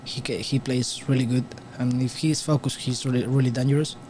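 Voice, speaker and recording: Spanish-accented man in halting English. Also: medium pitch, fairly even intonation, a little mellow, slight background noise. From Spain. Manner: halting non-native English with frequent restarts. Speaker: guest pool player. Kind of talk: casual booth commentary. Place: commentary booth at a pool tournament.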